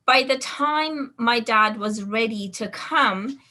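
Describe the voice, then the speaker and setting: A middle-aged woman from Iran whose first language is Farsi talks through her life story as a podcast guest. Her voice is bright and clear, and she tells the story with lively energy, stopping now and then to clear her throat. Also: a little high, slow pace. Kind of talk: personal storytelling in an interview.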